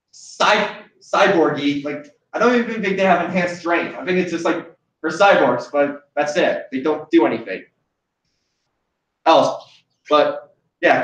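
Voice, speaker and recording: A young man from Hawaii hosting a casual chat, rambling with many restarts and fillers. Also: on the high side. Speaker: host. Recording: echoey room, distant mic, dull sound.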